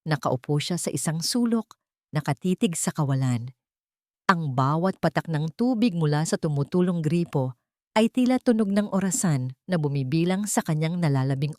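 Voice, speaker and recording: A woman's voice: on the low side, darkish tone, dry recording, studio quality. A woman narrating a scripted drama as a storyteller, with dramatic delivery.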